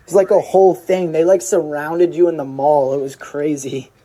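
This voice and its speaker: A caller talks casually on a recorded phone call. The voice is soft-edged and dark in tone, at a medium pitch. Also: steady tone, normal speed, a little hiss or hum. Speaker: caller talking to an inmate.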